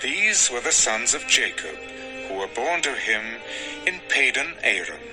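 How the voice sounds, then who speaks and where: A man narrating dramatized scripture as a measured, expressive storyteller. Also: medium pitch. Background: music.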